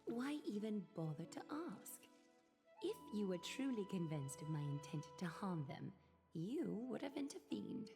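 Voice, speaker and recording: An actress playing an aloof, calculating noblewoman leader in a video game, speaking formally and eloquently with measured composure. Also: mid-range voice, big pitch swings, vocal fry. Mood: cool, matter-of-fact. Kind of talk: scripted video game voice acting. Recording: long reverb, music behind.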